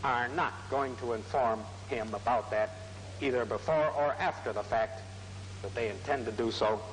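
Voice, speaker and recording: A man's voice: mid-range voice, normal speed. A man makes a formal argument as defense counsel in a courtroom, heard on a 1940s archive recording. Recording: reverberant, slightly noisy 1940s courtroom recording.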